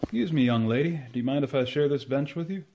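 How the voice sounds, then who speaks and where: An elderly male landowner in a radio comedy, played by a man. He speaks plainly and courteously at a middling pitch. His temper is sentimental and easily offended. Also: animated, fast, noisy background, lightly acted. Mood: wistful, courteous. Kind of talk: old-time radio comedy acting.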